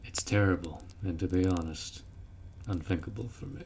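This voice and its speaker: A man's voice: low-pitched, normal speed, a little mellow, slight background noise. A man voicing a blunt, protective orc warrior who speaks informally and firmly in a roleplay audio drama. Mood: sympathetic, reassuring. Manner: blunt, informal, firm, instructing.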